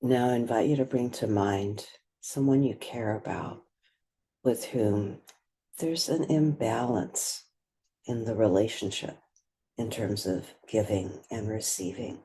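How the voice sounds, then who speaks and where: A woman leading a guided meditation, speaking gently and without hurry, leaving long pauses between phrases. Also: extremely low pitch. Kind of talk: guided meditation, slow and spoken softly.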